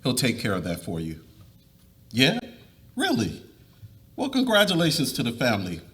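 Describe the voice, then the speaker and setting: A man's voice: mid-range voice, wildly swooping pitch, normal speed, a bit bright. A man playing a middle-aged family man in a dramatic reading, earnest and conversational. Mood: casual, upbeat.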